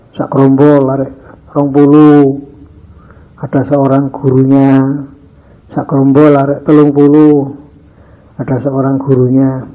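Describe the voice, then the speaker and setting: An older man teaching boarding school students in a morning religious lesson, slipping phrases into his talk and acting out little dialogues. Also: mid-range voice. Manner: mixes in Javanese phrases, acts out dialogues in his stories. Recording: dull lo-fi archive recording.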